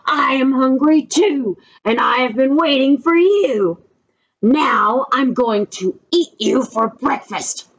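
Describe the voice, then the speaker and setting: Woman playing a mean, shouting storybook troll. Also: sits high, expressive, dull audio, pitched above the performer's own voice, exaggerated voice. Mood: menacing, shouting. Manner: shouting, drawn-out threats. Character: mean, greedy, hungry male troll, bullying and loud. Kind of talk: children's storybook character voice.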